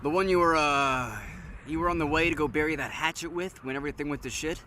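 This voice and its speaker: Man acting a bright-voiced, easygoing survivor. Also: a little high, fast talker, a little hiss or hum. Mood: wry. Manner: wry, slangy, teasing. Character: easygoing, philosophical male survivor, restless tinkerer. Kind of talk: audio drama acting, casual dialogue.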